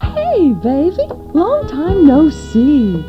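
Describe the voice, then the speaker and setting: A woman's voice: high voice, wildly swooping pitch, drawn-out words, highs cut off, over music, voice pushed up, heavily acted. Woman playing a flirty, sultry radio-ad dame. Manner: flirtatious, coy. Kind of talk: radio commercial sketch.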